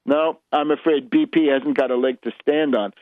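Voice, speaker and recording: A man hosting a radio show, giving opinionated political commentary with emphatic, deliberate, halting phrasing and a mocking edge. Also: mid-range voice, fairly even intonation, no room sound. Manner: emphatic, rhetorical questions, mocking when quoting. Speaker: radio host. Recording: narrowband, muffled radio broadcast sound.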